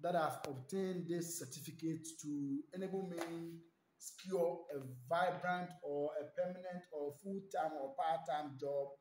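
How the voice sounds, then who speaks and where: A man's voice: high-pitched, slight background noise. A man in his thirties from Ghana with a Ghanaian English accent, introducing himself as a teacher in an earnest, emphatic way. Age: 30s. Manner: earnest, emphatic, listing repetitively. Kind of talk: self-introduction video for job applications. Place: large indoor hall with stacked chairs. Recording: echoey room.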